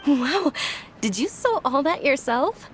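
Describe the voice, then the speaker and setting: A woman's voice: high-pitched, big pitch swings, slow, soft background music, full character voice. A woman playing a kind, genteel young noblewoman in a video game, polite and encouraging. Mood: admiring. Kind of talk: video game cutscene acting.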